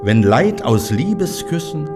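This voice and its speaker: A man reciting verse in a slow, expressive declaiming style. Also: on the high side. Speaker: poetry reciter. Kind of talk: recited poetry. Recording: voice over clear instrumental music.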